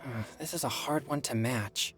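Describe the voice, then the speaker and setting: A woman's voice: very deep voice, normal speed. A woman voicing a modest, earnest boy in an anime-style game, speaking in an unassuming, slightly hesitant way. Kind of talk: scripted anime-style video game dialogue. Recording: music behind the voice.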